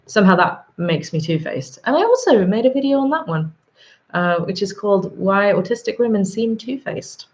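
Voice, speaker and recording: A woman in her thirties with a sore throat and a husky voice, hosting a live stream, chatting casually and rambling with frequent ums. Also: mid-range voice, expressive, moderate pace, lo-fi sound. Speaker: livestream host. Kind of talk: live chat reading viewer comments.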